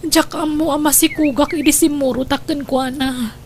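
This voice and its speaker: Woman acting a high-voiced young mom, pleading and sorry, emotional radio drama, bit of noise behind. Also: fairly even intonation, normal speed, natural voice. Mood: remorseful, tearful. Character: remorseful young wife and mother, pleading, tearful. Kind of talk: Ilocano audio drama acting.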